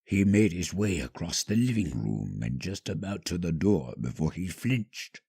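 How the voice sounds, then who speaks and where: A man narrating a scripted story dramatically, with measured, hushed phrasing and pauses. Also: low voice, very animated, vocal fry, husky.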